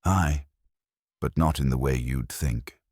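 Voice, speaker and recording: Man voicing a hushed, stooped old gravedigger, very deep. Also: slow pace, no room sound, clean studio sound, full character voice. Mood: hushed, uneasy. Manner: hushed, deferential murmur with rustic turns of phrase. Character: stooped old male gravedigger, deferential, hushed, quietly poetic. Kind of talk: dramatised audiobook-style mystery storytelling.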